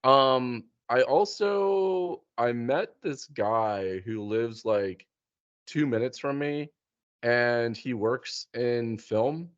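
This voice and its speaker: Male podcast host, informal and slangy, mid-pitched voice, slightly muffled lo-fi sound. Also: drawn-out words, dry recording. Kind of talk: casual chat.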